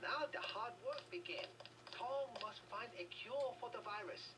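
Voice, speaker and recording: A noisy, somewhat distant game recording of a solemn female aide. Her voice sits a little high and stays formal. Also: lively intonation, a little slow. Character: solemn woman aide in a secret Hong Kong compound. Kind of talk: video game dialogue. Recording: noisy distant game capture, keyboard clicks.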